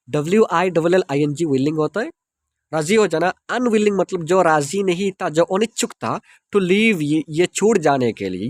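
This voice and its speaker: A man with an Indian accent reads a moral story aloud to teach English. He spells out words and translates them into Hindi. His voice is high and very animated, recorded dry and close to the mic. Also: no room sound. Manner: reads English lines slowly, then explains quickly in Hindi. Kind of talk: reading a story aloud and explaining it word by word.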